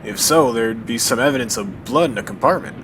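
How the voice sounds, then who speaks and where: A man plays a steady, practical investigator trapped on a train in a radio mystery. He speaks calmly in a medium voice. A noticeable hiss sits beneath the recording. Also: medium pitch, brisk, vocal fry, full character voice. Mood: tense. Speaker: voice actor. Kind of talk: old-time radio drama acting. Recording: noisy radio drama recording.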